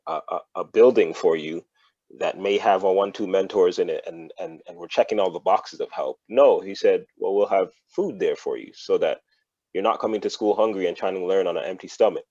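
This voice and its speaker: A man's voice: low voice, a little fast, dark tone, breathy edge, no echo, muffled recording. A man speaking as a podcast guest in an earnest, thoughtful way, often repeating words as he thinks. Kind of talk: casual podcast chat.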